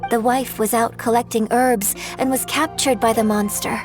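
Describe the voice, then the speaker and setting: Woman voicing a young female opera singer, slightly high voice, poised, measured storyteller, faint music behind. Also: fairly even intonation, close to an everyday voice. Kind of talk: video game voice acting.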